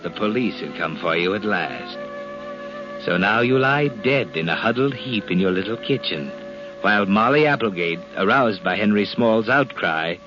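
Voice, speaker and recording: Sinister male radio-mystery narrator played by an actor, low-voiced, ominous, taunting; dull vintage recording. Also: lively intonation, moderate pace, lightly acted. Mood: ominous, gloating. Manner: ominous, taunting, addressing the doomed man. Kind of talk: 1940s radio drama narration. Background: dramatic music.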